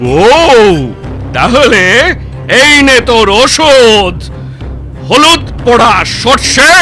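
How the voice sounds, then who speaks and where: Old male exorcist, cartoon: very high, piercingly forceful, slow and deliberate, bossy chanting menace. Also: big pitch swings, soft background music, heavily acted. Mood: scornful, threatening. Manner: rhythmic rhyming chants, drawn-out commands. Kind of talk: exaggerated Bengali cartoon voice acting.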